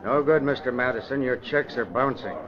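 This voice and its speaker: A man's voice: mid-range voice, fairly quick, close to an everyday voice. Brusque gambling-house cashier, male actor, no patience, old radio drama, dull sound. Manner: brusque, impatient.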